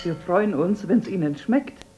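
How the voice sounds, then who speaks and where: An actress playing a doting, hospitable middle-aged hostess in a radio play. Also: female voice, lowish voice, expressive, measured pace, muffled recording, over music. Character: fussing middle-aged hostess, miller's wife.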